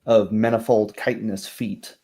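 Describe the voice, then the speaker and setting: A man with a fairly low voice acts as game master of a tabletop roleplay. He narrates in descriptive, literary phrasing, setting out scenes for the players. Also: level tone, moderate pace, warm tone, slight background noise.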